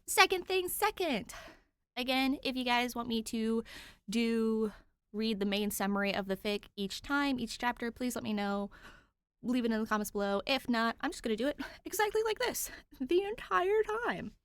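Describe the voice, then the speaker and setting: A woman's voice: on the high side, lively intonation, normal speed, smooth, no room sound. A woman podfic reader narrating fan fiction expressively, with an informal, conversational touch.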